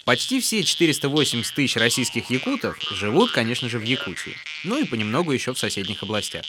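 A man's voice: medium pitch, big pitch swings, fast, very noisy. A man narrating a folk tale as a storyteller, with music behind his voice.

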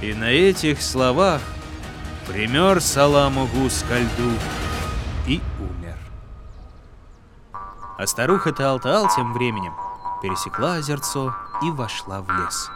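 A man narrating a folk tale as a storyteller, with dramatic, expressive and deliberate phrasing. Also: medium pitch, over music.

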